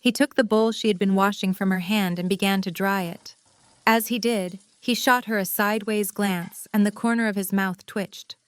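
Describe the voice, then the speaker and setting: A woman's voice: medium pitch, steady tone, normal speed, no echo. A woman reading scripted story narration in the third person.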